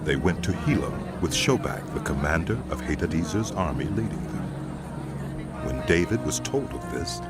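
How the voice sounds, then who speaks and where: Deep-voiced, expressive male scripture narrator. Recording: loud music bed behind narration.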